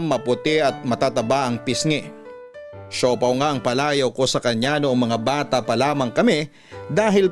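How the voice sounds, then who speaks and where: A man with a Filipino accent reading a scripted first-person story in classic radio narration style. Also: mid-range voice. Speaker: radio drama host and storyteller. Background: soft music.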